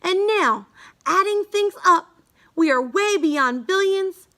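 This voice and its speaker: A woman reading a rhyming children's bedtime story aloud, halting now and then with small stumbles over words. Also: very high-pitched, lively intonation, sharp tone. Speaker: storybook reader.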